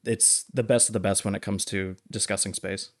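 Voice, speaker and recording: A man with a fairly low voice talks as a podcast co-host. He explains things casually, feeling his way through details in a measured, halting manner. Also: fairly even intonation. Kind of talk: casual movie discussion.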